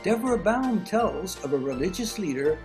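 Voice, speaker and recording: A woman's voice: fairly low pitch, big pitch swings, moderate pace, a little mellow, faint music behind. A woman narrating scripted introductions as a presenter, recorded on a video call.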